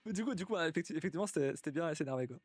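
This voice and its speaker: A young man streaming casually and reacting to stories, talking in a halting way, repeating words and laughing often. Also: fairly high pitch, expressive, husky, no echo, clean studio sound.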